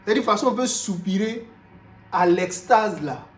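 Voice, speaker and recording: A man from Cameroon, preaching a sermon in an animated way, moving from loud exclamations to quiet asides and repeating himself often. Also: high voice, moderate pace, soft background music. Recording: roomy echo with faint background noise.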